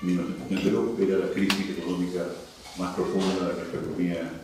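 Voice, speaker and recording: A man's voice: low voice, flat delivery, quick pace, music in the background. Older Chilean man in a very echoey hall. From Santiago, Chile. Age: 60s or older. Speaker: university leader, speaker at a cultural forum. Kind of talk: formal prepared speech with asides. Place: indoor cultural venue, picked up from afar. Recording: very echoey hall, distant mic, faint noise.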